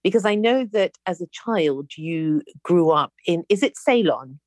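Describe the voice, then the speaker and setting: A woman's voice: lowish voice, lively intonation, unhurried, no echo, clean studio sound. A woman hosting an interview, reading an introduction and greeting her guest in an effusive, gushing way. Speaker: podcast host.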